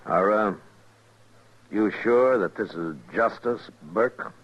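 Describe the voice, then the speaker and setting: An American man playing a weary, fair-minded frontier marshal in a radio western, speaking in a measured, terse way. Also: on the low side. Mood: grave, questioning. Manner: measured, terse, quietly challenging. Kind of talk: old-time radio western drama acting. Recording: muffled old radio recording.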